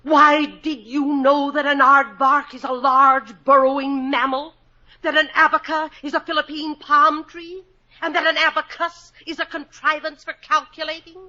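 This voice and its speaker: An actress playing a quick-witted, teasing married woman who is bored and feisty, in a 1940s radio comedy. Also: high voice, level tone, pushed and bright, dull audio. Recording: scratchy, noisy 1940s radio broadcast.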